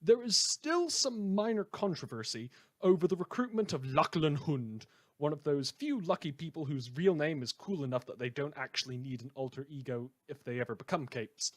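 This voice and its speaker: Man playing a lively, flippant radio news host. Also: on the high side, moderate pace. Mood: wry, flippant. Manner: rambling, cheerfully flippant, self-correcting. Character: chatty, blithely amoral small-town male radio news host. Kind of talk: satirical fictional radio news broadcast.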